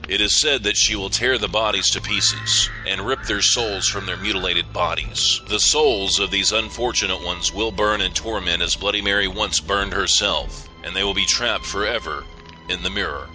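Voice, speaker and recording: A man's voice: sits low, moderate pace, bright, forceful tone, rough recording. A man who hosts a podcast, reading a scripted horror story as its narrator. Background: music.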